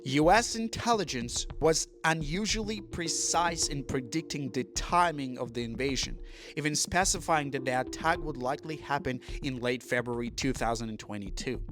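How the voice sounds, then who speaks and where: A young man from Russia with a Russian accent, speaking in a blunt, impassioned commentary monologue that includes swearing. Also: medium pitch. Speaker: vlogger. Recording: music playing under the voice. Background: music.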